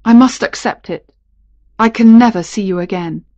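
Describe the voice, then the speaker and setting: A woman narrator voicing a lovelorn gentleman captain, earnest and romantic, in a storybook. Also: mid-range voice, a little slow, no echo, lightly acted. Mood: hurt, resigned. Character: ardent, pleading gentleman captain in love.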